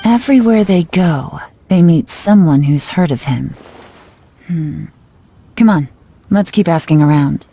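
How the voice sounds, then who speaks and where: A woman's voice: lowish voice, lively intonation, unhurried, mellow, exaggerated voice. A woman playing a composed, polite young investigator and playful schemer in a video game. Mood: pondering. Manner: smooth, courteous. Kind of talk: video game character acting.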